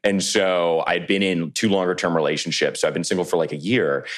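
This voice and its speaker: A man in his late twenties with a very deep voice, speaking as a guest on a casual podcast. He tells his stories in an easygoing, rambling way, with plenty of "like" and "you know". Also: brisk. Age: 20s. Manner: relaxed storytelling, frequent "like" and "you know". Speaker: podcast guest, reality show contestant.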